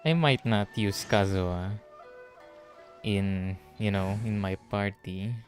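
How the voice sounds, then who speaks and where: Guy streamer, lowish voice, unhurried, thinking out loud over game music. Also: steady tone. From Philippines. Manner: muses aloud, mildly swearing. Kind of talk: casual live gameplay commentary.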